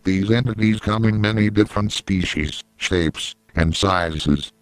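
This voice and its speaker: Male actor as emergency broadcast announcer, low-pitched, crisp, grave, impersonal, deadpan, analog horror. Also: a little slow, dry recording, close to an everyday voice, poor audio.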